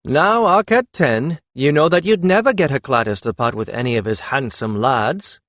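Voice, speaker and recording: A man's voice: medium pitch, lively intonation, normal speed, a bit bright, dry recording, voice pushed up, natural voice. A man voicing a cheeky young man with a playful, teasing manner in an audiobook.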